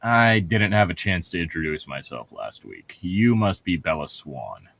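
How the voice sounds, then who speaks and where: A man's voice: low voice, sing-song, measured pace, vocal fry, fairly bright tone, a little hiss or hum. A man co-hosting a comedic read-along, reading a book aloud with casual, irreverent, sweary banter.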